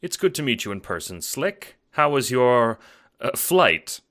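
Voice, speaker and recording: A man voicing a calm, courteous, smugly sinister puppet-like host in an audio drama, speaking in a mannered, formal, condescending way. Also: medium pitch, measured pace, pitched above the performer's own voice. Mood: calm, polite. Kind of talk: theatrical audio drama narration.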